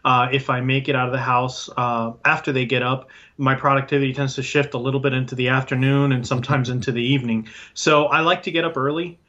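Man, from Puerto Rico, interview guest, bright tense voice, lots of "uh" and restarts, muffled sound. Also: mid-range voice, little pitch movement, quick pace, few pauses. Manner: hesitant, many fillers and restarts. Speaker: guest, IT business owner. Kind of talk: casual interview answers. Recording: dull lo-fi sound.

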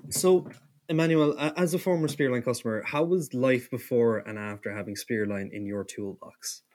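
A man's voice: mid-range voice, normal speed, a little mellow. A man co-hosting a podcast, putting questions to a guest in a conversational way. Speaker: podcast co-host.

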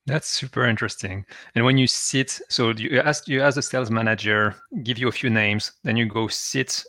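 A man from France with a French accent, hosting a podcast and asking questions in a halting way, often repeating words. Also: mid-range voice, steady tone, pushed and bright, no echo. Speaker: podcast host. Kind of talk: casual interview talk.

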